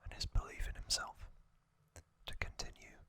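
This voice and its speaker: An ASMR host speaking in a soft, breathy, mostly unvoiced whisper, gently recapping in short phrases with lingering pauses, close to the microphone. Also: no echo. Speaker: host of a whispered trivia channel.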